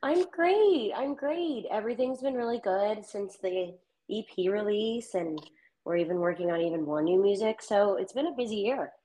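A woman's voice: medium pitch, normal speed, mellow. A woman guest on a podcast, a country singer-songwriter, talking in a friendly, upbeat way and laughing easily. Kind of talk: podcast interview.

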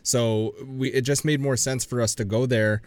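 A young man co-hosts a laid-back podcast, dropping dry jokes between plainer talk. His voice sits at a middle pitch, recorded close and dry with no room sound. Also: fairly even intonation, normal speed, a little mellow, dry recording. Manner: dry, joking asides. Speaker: podcast co-host. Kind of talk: casual podcast chat.

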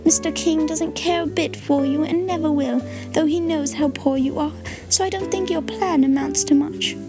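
A spoiled, selfish little girl from a storybook, whose talk comes out peevish and dismissive, as a woman reading aloud plays her. Her voice is pitched up high, with soft music running underneath. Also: fairly even intonation, moderate pace, close to an everyday voice.

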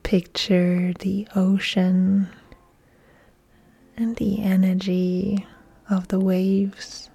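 A woman yoga instructor leading a guided relaxation meditation in a soft, breathy, soothing delivery with long pauses. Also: mid-range voice, level tone. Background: soft music.

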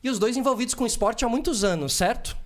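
Brazilian man, podcast host, high voice, upbeat, slangy. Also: fast talker, a bit bright. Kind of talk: casual podcast interview.

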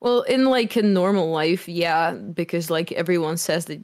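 A young woman talks loudly and freely, her strong voice sitting low for a woman. She rambles a little with fillers as she tells stories about performing. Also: fairly even intonation, normal speed. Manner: loud, strong voice. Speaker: musician guest. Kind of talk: casual interview chat.

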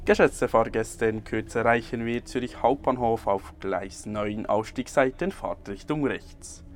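A man with a Swiss German accent working as a train conductor, talking to colleagues and passengers. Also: a little slow. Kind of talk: casual workplace talk and passenger announcements. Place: aboard a moving passenger train. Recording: clear train noise behind voice. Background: train rumble and vehicle noise.

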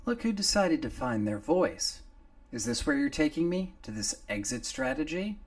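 A man voicing an older, guilt-ridden male gangster with a gruff, confrontational way of talking. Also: a little high, sing-song, normal speed, voice pushed up. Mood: tense.

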